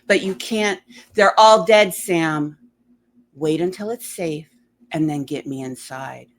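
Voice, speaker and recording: A woman's voice: sits low, slow. A woman voicing a frightened, protective girl with urgent pleading in a storybook reading. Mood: urgent, fearful. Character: frightened, protective young girl with reality-shattering power.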